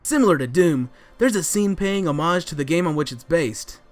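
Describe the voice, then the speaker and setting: A man's voice: fairly high pitch, lively intonation, moderate pace. An American man in his thirties co-hosting a scripted countdown, ranting in an exasperated, theatrical way. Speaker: co-host of a countdown show. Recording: music under the voice.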